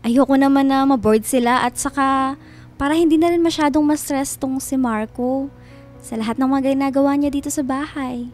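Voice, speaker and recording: An actress playing a chirpy, over-helpful family friend in a radio drama. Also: female voice, high-pitched, steady tone, normal speed, darkish tone, close to an everyday voice. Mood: chatty, sweet. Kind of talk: Filipino radio drama acting. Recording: music behind the voice.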